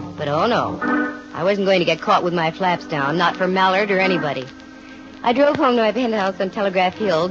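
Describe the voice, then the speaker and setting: A woman's voice: fairly low pitch, lightly acted. An actress playing a wry female private investigator in an old radio detective drama, with a dry, wisecracking manner. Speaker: lead actress and narrator. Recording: muffled old 1950 radio recording.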